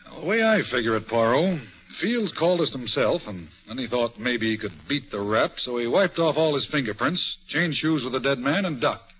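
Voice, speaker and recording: A man's voice: medium pitch, expressive, moderate pace, hardly any pauses, fairly bright tone, natural voice. Man acting as blunt police inspector on muffled radio. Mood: confident, triumphant. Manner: blunt, emphatic, colloquial. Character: brisk, blunt, confident male police inspector. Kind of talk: 1940s radio mystery acting. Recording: muffled vintage 1940s radio recording.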